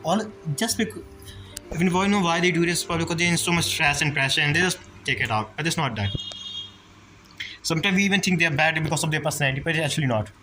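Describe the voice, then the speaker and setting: Insistent, quick-talking male gamer. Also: on the high side, animated. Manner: insistent, repetitive. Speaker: gamer commenting on a playthrough. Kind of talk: casual reaction commentary. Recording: game music behind the voice.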